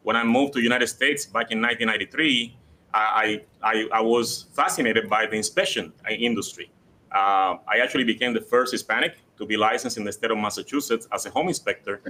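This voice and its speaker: Bright-voiced man. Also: lowish voice, brisk, slight background noise, low-quality recording. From Puerto Rico. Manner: earnest, repeats words and says "uh" often. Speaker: guest, city code department director. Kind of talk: live interview, conversational. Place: convention center.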